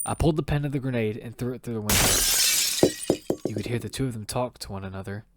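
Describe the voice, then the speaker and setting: A man's voice: mid-range voice, fairly quick, heavy background noise, close to an everyday voice. A man playing a blunt young survivor of a deadly battle game, narrating his own story in an audio drama. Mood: grave narrating. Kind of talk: first-person narration in an audio fiction. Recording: music loud behind the voice.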